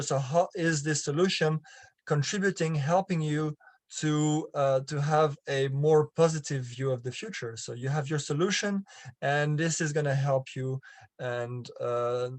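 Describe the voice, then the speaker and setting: A man's voice: a little high, darkish tone, dry recording. Man with a French accent teaching marketing. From France. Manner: halting, many fillers and restarts. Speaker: online marketing coach. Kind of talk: explaining with a personal example.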